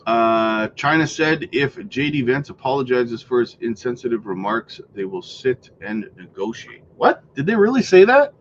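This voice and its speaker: A man hosting a casual crypto livestream, hoarse and losing his voice, rambling and clearing his throat as he thinks aloud. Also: medium pitch, big pitch swings, moderate pace, hardly any pauses, lo-fi sound, slight background noise.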